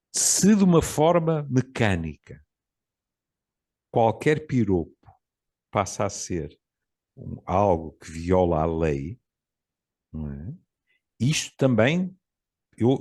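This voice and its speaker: A man's voice: low-pitched, darkish tone, husky. Reflective Portuguese man in his seventies. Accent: European Portuguese. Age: 70s. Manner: storytelling, reflective, with frequent pauses and fillers. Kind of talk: casual conversation.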